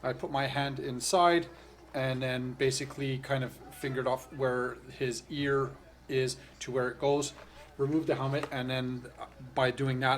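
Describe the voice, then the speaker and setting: A man hosting a casual how-to tutorial, explaining step by step in a loose, hesitant way and often correcting himself. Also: mid-range voice. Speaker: host of a tutorial channel. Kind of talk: casual hands-on tutorial narration.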